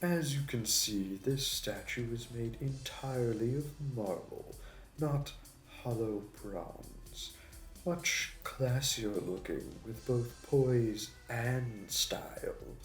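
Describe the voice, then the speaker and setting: A man playing a suave, smug supervillain in an audio roleplay, with a mocking, flirty, theatrical delivery. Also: medium pitch. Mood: mocking, amused. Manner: theatrical, taunting, drawn-out delivery. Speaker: voice actor. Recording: roomy echo, distant mic, music behind.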